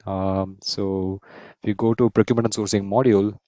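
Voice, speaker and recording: A man in his thirties explaining software step by step as a tutorial host, often checking in with a "right?". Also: on the low side, little pitch movement, brisk, no echo. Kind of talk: screen-share software tutorial, semi-scripted explanation.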